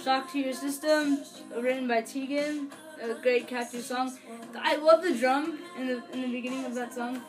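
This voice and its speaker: A woman's voice: high-pitched, steady tone, moderate pace, over music, poor audio. A young woman giving a casual, unscripted review to camera in an earnest, gushing way, often hesitating and trailing off mid-thought. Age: young adult. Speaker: vlogger, fan album reviewer. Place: small furnished bedroom, indoors. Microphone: camera or webcam a few feet away.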